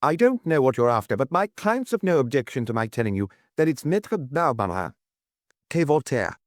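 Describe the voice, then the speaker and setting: A man voicing a brisk, guarded lawyer in an audiobook, formal, officious and insistent on the telephone. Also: medium pitch, big pitch swings, no room sound, studio quality, voice pushed up, close to an everyday voice. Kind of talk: audiobook character dialogue.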